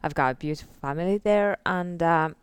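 A woman in her forties from northern Finland, a Finnish speaker, telling her life story as an interview guest, softly and with many fillers. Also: lowish voice, a little fast, clear, resonant tone, dry recording. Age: 40s. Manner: soft-spoken, many fillers like "like" and "uh". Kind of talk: casual testimony interview.

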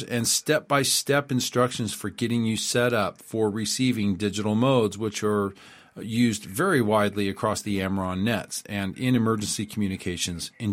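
A man with a middle-range voice hosts a radio show. He speaks informally and instructionally, in an earnest, explanatory manner. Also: mid-range voice.

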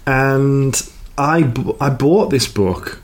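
A man co-hosting a podcast, chatting casually with a calm, soothing voice and laughing often. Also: medium pitch, slow pace. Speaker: podcast co-host. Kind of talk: casual chat.

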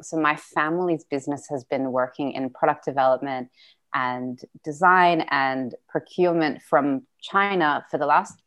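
Low-voiced woman, earnest and chatty. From Australia. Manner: warm, earnest, laughs often, occasional swearing. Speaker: guest, business founder. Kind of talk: casual interview chat.

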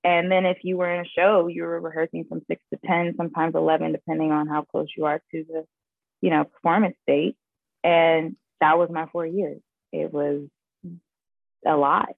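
A young woman, a guest actress, chatting in an interview and telling her story in an animated way, often laughing and saying "like". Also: low voice, moderate pace, creaky, dry recording, phone-quality sound. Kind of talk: casual interview chat.

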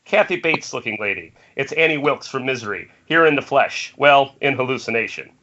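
A man's voice: mid-range voice, lively intonation, fairly quick, pushed and bright. A man narrating the stage directions of a screenplay in an amateur table read. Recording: dull, noisy internet call audio.

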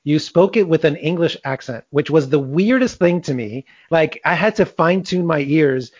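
Higher-voiced man hosting a chat. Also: lively intonation, normal speed, no room sound, highs cut off. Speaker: host. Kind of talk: casual interview chat.

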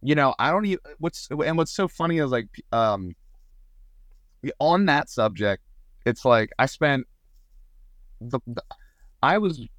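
A man's voice: mid-range voice, lively intonation, unhurried, long pauses, fairly bright tone, no echo. A man from Southern Maryland, a singer-songwriter guest, talking casually with frequent restarts and fillers. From Southern Maryland, USA. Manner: chatty, many restarts and fillers. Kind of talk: casual podcast conversation.

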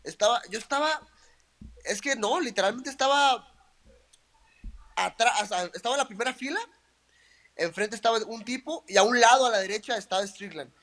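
A Mexican man with a Mexican accent and a fairly high voice, chatting easily as an interview guest. He talks casually and tells his stories loosely, leaning on small fillers as he goes. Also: moderate pace, long pauses. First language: Spanish. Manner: relaxed and chatty, with many "o sea" and "eh" fillers. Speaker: interview guest, professional fighter.

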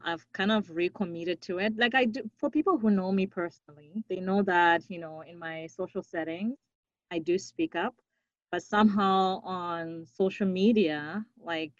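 A young woman from Zimbabwe, a podcast guest, speaking earnestly and reflectively, now and then with a soft laugh. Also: fairly low pitch, no echo, muffled recording. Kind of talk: conversational interview.

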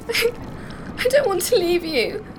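Woman playing a high-voiced, urgent alien princess. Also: noisy background, exaggerated voice. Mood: tearful. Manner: urgent, emotional, commanding. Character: young alien princess, urgent, dutiful, anguished daughter. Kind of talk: sci-fi audio drama acting.

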